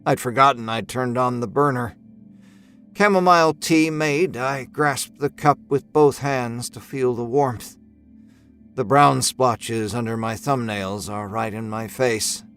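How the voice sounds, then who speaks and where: A man narrating a first-person horror story in a measured, brooding, expressive way. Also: mid-range voice. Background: music score.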